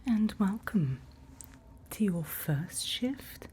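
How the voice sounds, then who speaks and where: Asmr lady, mellow and whispery, really unhurried, gently explaining stuff in roleplay. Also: mid-range voice, animated, slight background noise. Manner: gentle, unhurried, reassuring instruction. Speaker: ASMR roleplay performer playing a night watch guide.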